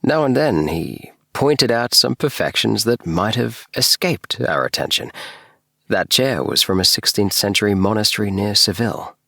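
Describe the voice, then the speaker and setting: A man narrating a bedtime story in a measured, expressive storytelling manner, recorded in a small booth. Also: fairly low pitch, vocal fry, dry recording, studio quality. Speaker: audiobook narrator.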